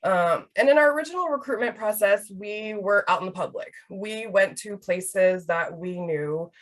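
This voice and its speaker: A woman's voice: medium pitch, normal speed. A woman in her thirties from Hawaii, presenting a webinar in a casual, chatty way with many fillers. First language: Hawaiian Pidgin. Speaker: research project manager.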